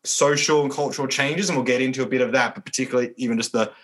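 A man's voice: mid-range voice, fairly even intonation, rapid-fire, fairly bright tone, slightly rough. A man hosting a podcast interview in a conversational, rambling and hesitant way. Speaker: podcast host, church minister.

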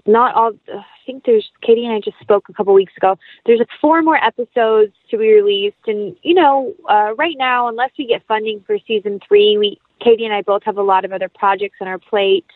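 A woman's voice: mid-range voice, fairly quick, no echo. Chatty woman on dull lo-fi audio. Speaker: actress, producer and interview guest. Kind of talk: casual interview conversation. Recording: dull, lo-fi, band-limited audio.

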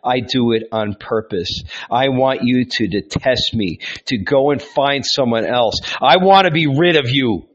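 A man's voice: medium pitch, a little slow, few pauses, lightly acted. A man voicing a tormented young carpenter who pleads and argues in anguished outbursts, on a dull lo-fi recording. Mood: defiant.

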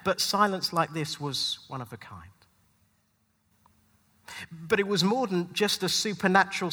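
High, bright-voiced man preaching in a hall. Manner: rhetorical repetition and deliberate dramatic pauses. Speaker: pastor. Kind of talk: dramatic sermon with rhymed spoken-word passages. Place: large indoor venue, speaking live to a gathering. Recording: live hall with long reverb.

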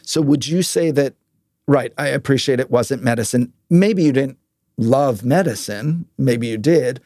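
A man's voice: medium pitch, unhurried, mellow, no room sound, clean studio sound. A man hosting an interview in a casual, conversational way, informal and affirming with his guest. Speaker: podcast host interviewing a guest.

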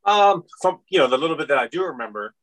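Male podcast guest, 50s, from Iran, meandering and filler-heavy, bright tone. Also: on the high side, lively intonation, fast talker. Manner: rambling, many fillers. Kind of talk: casual interview chat.

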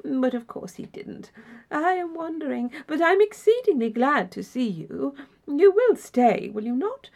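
A woman voicing a courteous, formal older gentleman in an audiobook story, a solicitous and welcoming host. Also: high voice, sing-song, a little slow, creaky, pitched above the performer's own voice, heavily acted. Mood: apologetic, welcoming.

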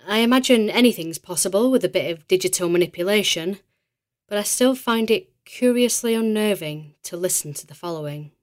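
An actress plays a female mystery podcast host in an audio drama. She is arch and breezy, speaking at a middling pitch straight to her listeners with a wry touch. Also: lightly acted.

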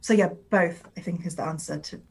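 A woman's voice: on the low side, fairly quick, gravelly, a little mellow, breathy edge. A woman whose first language is Urdu, speaking as a poet in a conversational interview and giving long, rambling answers full of filler words.

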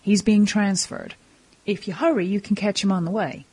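Motherly criminal go-between, woman acting, medium pitch, affectionate and wry, for audio drama. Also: fairly even intonation, moderate pace, darkish tone, husky, natural voice. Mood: businesslike. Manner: fond, teasing, chatty. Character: affectionate, teasing mother who brokers criminal jobs.

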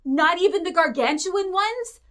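A woman's voice: very high-pitched, animated, unhurried, fairly bright tone, heavily acted. A woman voicing an incredulous, bookish bird in a comic audio drama.

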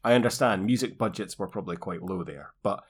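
A man's voice: deep voice, fast talker, slightly rough. A man chatting casually about television as a podcast co-host.

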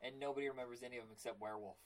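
A man with a raspy voice hosting a casual cartoon podcast, telling stories and acting out quotes. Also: mid-range voice, very fast. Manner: animated storytelling, acts out quotes. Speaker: podcast host. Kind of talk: casual chat.

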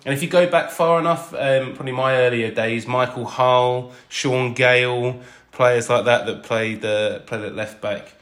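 A man's voice: mid-range voice, steady tone, unhurried, a bit bright. Guy on a podcast, kinda hesitant, stumbles over words. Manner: hesitant, stammers and trails off. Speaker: podcast co-host. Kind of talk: casual chat.

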